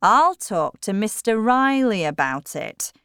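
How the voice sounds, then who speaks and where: Female reader voicing a headstrong storybook miller husband, insistent and slow. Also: mid-range voice, sing-song, clean tone, no echo, clean studio sound, pitched above the performer's own voice, heavily acted. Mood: musing. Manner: forceful, emphatic, raised voice. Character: stern middle-aged country miller, stubborn and proud.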